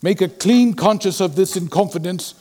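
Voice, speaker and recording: A man acts a Victorian gentleman lawyer in a literary audio drama. His voice sits high for a man. He speaks formally and earnestly, with the weight of a worried, loyal friend. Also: moderate pace. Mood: earnest urging.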